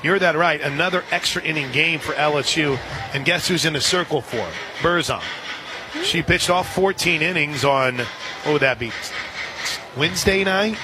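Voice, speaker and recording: A man calling softball play-by-play on the radio, conversationally filling in scores and details between pitches. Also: normal speed. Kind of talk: live softball radio commentary. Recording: outdoor stadium crowd behind the voice. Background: crowd noise.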